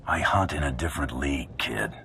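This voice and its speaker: An actor playing a gruff, cocky, seasoned bounty hunter in a video game, wry and unhurried in manner. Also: male voice, a bass voice, pushed and bright, slight background noise, full character voice. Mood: cool, sardonic. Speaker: player character in a video game. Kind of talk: video game cutscene acting.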